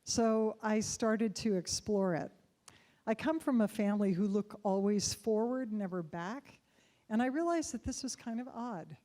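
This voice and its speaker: A middle-aged woman with a mellow, dark-toned voice speaks into a stage microphone before an audience. She tells a personal story in an unhurried, conversational way, dotted with soft laughs. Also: medium pitch. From United States.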